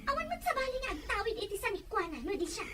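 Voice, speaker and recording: Woman acting a very high-pitched, agitated scheming woman. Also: sing-song, fast, background noise. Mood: conspiratorial. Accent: Ilocano. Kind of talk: Ilocano radio drama acting.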